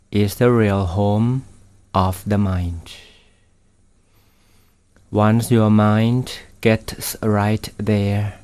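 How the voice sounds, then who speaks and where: A Buddhist meditation guide, his voice sitting fairly low. He speaks softly and gently, leaving long pauses between short phrases. He moves at an unhurried, very slow pace. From Thailand. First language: Thai.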